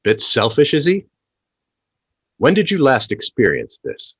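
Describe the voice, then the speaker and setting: A man's voice: mid-range voice, huge pitch swings, a little slow, husky, no echo, heavily acted. A man playing a cheeky, incredulous radio phone-in host in a comedy play, theatrical and wry.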